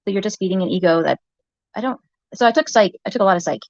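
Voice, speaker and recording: A woman with a soft voice, rambling hesitantly in a clip from a livestream. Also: medium pitch, animated, fast, no room sound, muffled recording. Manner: hesitant, many fillers and restarts.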